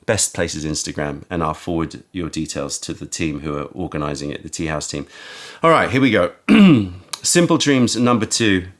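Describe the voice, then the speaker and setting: A man hosting a casual tea tasting, his voice croaky from having just woken up. He talks slowly and musingly, often stopping to search for the right word. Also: low voice, animated. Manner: slow, musing, searching for words. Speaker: tea shop host.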